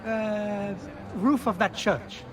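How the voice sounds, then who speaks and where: A man voicing a playful young older brother in a video game, teasing and expressive. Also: sits high, very slow, crowd noise. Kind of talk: video game cutscene acting.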